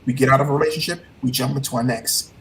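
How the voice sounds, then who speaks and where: A man's voice: medium pitch, fairly even intonation, racing, creaky, fairly bright tone, slight background noise, rough recording. Trinidadian man coaching earnestly. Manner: earnest, motivational, repeats key phrases. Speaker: guest, heartbreak coach. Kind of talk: casual interview talk.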